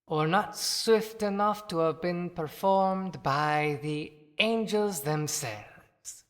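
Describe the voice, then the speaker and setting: A man performing a wry, bitter, grandly wordy freed husband in a measured, formal audio play monologue. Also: a little high. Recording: long reverberant echo.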